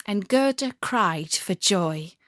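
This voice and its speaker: A woman storyteller narrating a scripted fairy tale in a measured, expressive way. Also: medium pitch, dry recording, clean studio sound.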